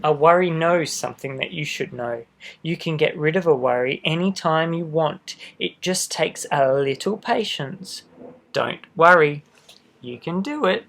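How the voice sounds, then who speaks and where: A man's voice: a little high, unhurried, creaky. Man reading a children's picture book aloud. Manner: expressive, with gentle asides to young listeners. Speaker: storybook reader.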